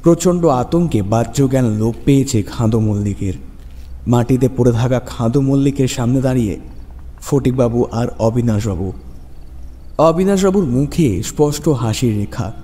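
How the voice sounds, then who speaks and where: Mellow male horror narrator with long reverb. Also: mid-range voice, normal speed. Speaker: narrator of a radio story show. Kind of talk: scripted dramatic horror story narration. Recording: long reverb over music. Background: background music.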